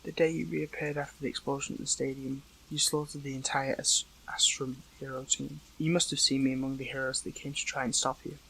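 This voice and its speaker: Gravelly, weary captive young hero played by a man. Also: mid-range voice, level tone, bright, forceful tone, a little hiss or hum, poor audio. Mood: hurt, pleading. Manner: halting, emotional, pleading. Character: weakened captive young male superhero, hurt, earnest, still caring. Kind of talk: intimate audio roleplay drama.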